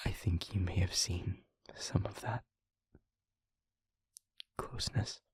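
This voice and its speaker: A man playing a weary demon in an intimate audio roleplay, speaking earnestly and haltingly in a breathy half-whisper. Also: low voice, steady tone, darkish tone, no echo, clean studio sound, exaggerated voice. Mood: tender, careful. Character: weary male demon confiding a painful truth. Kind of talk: scripted audio roleplay, intimate monologue to listener. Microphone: close microphone, dry.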